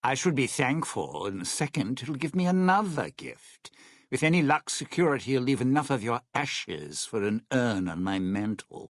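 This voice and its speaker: An actor voicing a scheming, bitter, sardonic crook in a video game, with a sly, theatrical delivery. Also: male voice, medium pitch, sing-song, normal speed, no echo, studio quality. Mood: menacing, smug. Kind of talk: video game character dialogue.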